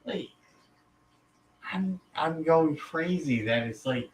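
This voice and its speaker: A man in his thirties, a guest in a casual live chat, talking in an animated, stop-start way with the odd casual swear. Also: on the high side, takes it slowly, distant mic, slight background noise. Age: 30s.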